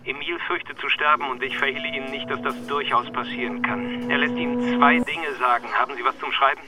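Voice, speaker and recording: An actor in a radio crime drama playing a blunt, weary doctor passing on bad news. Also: male voice, fairly high pitch, brisk. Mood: grave, urgent. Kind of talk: German radio play acting. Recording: dull band-limited call, music behind.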